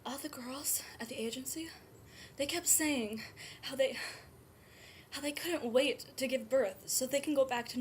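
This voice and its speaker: A woman performing a dramatic stage monologue as a grief-stricken, guilt-ridden nineteen-year-old mother, speaking haltingly and often in a breathy hush. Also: sits high, close to an everyday voice. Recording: noisy amateur room recording.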